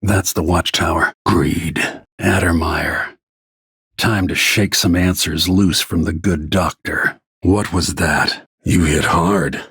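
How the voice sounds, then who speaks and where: A weary middle-aged assassin in a game, deep gravelly husky voice, brooding and short with words. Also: male voice, steady tone, slow, fairly bright tone, no room sound, studio quality, heavily acted. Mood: grim, determined. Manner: terse, brooding, restrained. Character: grim, world-weary middle-aged male assassin and royal protector. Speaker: video game protagonist. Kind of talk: scripted video game voice lines.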